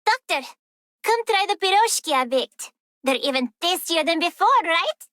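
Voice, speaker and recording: A woman voicing a sweet, earnest young girl in a game, gentle and eager to please. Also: very high-pitched, animated, normal speed. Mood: sweet, proud. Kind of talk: game character voice line.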